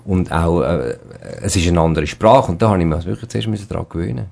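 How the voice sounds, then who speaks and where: A man's voice: low-pitched, brisk, creaky, darkish tone. A man with a Zurich Swiss German accent, a band musician talking casually in a radio studio interview, often repeating words as he searches for them.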